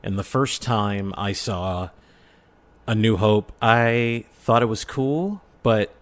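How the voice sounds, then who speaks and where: A man's voice: fairly low pitch, takes it slowly. A middle-aged man chatting casually as a podcast guest and telling personal stories with enthusiasm. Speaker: podcast guest, audio drama creator. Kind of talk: casual chat, personal storytelling.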